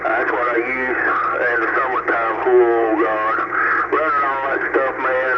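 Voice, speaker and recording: A man's voice: medium pitch, level tone, a little slow. An older man with a Southern US accent chatting casually over CB radio in a folksy, rambling way, with frequent exclamations. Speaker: CB radio operator. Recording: dull, very noisy CB radio transmission.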